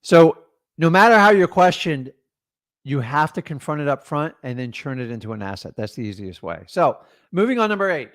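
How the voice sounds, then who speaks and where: A man's voice: fairly high pitch, very animated, moderate pace, no echo. A middle-aged man coaching on real estate deals, talking in an emphatic, motivational, direct way. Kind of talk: energetic coaching talk.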